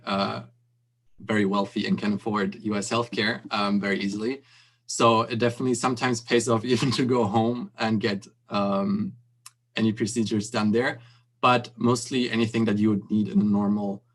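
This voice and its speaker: A young male student panelist who explains things in a chatty, informal way, full of "um" and "like". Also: lowish voice. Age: young adult. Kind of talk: panel Q&A answer.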